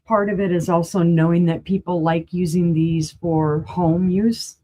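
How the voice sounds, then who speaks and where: A woman running a live auction sale, chatty and enthusiastic, with a voice that is going hoarse. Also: lowish voice, steady tone, a little slow, warm tone. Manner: chatty, enthusiastic, rambling asides. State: losing her voice, clearing throat.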